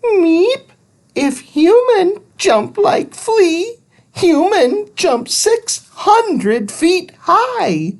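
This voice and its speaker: Squeaky, high-pitched little robot. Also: male voice, very animated, drawn-out words, exaggerated voice. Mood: alarmed, explaining. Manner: clipped, simplified phrases without articles.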